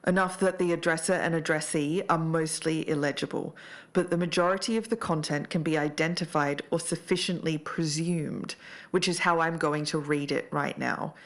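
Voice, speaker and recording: A woman co-hosting an investigative podcast, moving between scripted narration and casual talk. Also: deep voice. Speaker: podcast co-host.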